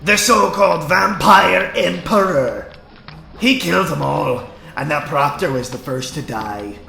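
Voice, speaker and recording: A gruff, bitter older male vampire hunter in a fantasy audio drama. The voice is bright and gravelly, with slow, emphatic rustic speech full of scorn, over music. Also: on the high side, animated, exaggerated voice. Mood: bitter, angry. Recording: echoey, music underneath.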